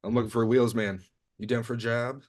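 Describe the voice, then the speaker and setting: A man's voice: medium pitch, fairly even intonation, fast, close to an everyday voice. Brash streetwise crew boss, acted by a man. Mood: businesslike. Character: brash streetwise male crew leader recruiting his old gang. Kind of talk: virtual table read of a comedy script. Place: remote video call.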